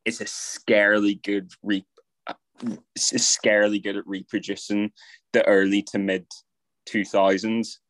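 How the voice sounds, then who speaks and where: A man's voice: lowish voice, level tone, measured pace, a bit bright, no room sound. A young man co-hosting a podcast, talking things through with wry, teasing asides. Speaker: podcast co-host. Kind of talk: casual chat.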